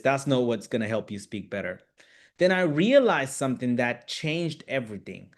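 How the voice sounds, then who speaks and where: A man from Tanzania, an English teacher hosting a live online class, talking straight to his viewers in an encouraging, direct way. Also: medium pitch. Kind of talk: live webinar talk to viewers.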